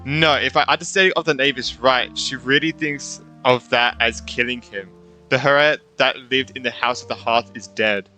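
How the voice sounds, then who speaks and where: A man's voice: medium pitch, steady tone, moderate pace, sharp tone, faint music behind. A man giving casual playthrough commentary as a gaming streamer, musing aloud informally in loose phrases on a close microphone.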